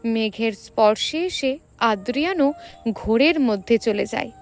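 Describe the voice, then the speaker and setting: A woman storyteller reads romantic fiction in a high voice. Her pitch moves up and down in a lively way as she delivers the scripted narration with drama. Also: unhurried.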